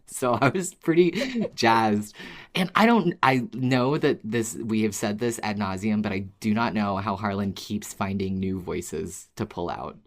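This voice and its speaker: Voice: medium pitch, a little slow. A podcast speaker chatting casually and enthusiastically, like an impressed fan. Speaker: podcast co-host or guest.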